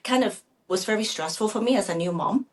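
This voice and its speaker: A middle-aged woman, a native Chinese speaker raised in Canada, answering interview questions conversationally in a halting, self-correcting way. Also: medium pitch. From Vancouver, Canada. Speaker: real estate agent guest.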